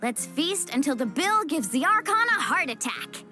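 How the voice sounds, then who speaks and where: Young warrior woman for a game: casual, supportive, high-pitched, music underneath. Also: big pitch swings, moderate pace, bright, forceful tone, exaggerated voice. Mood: upbeat. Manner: casual, encouraging, plain-spoken. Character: upbeat, confident, supportive young female warrior. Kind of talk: video game voice acting. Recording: music behind the voice.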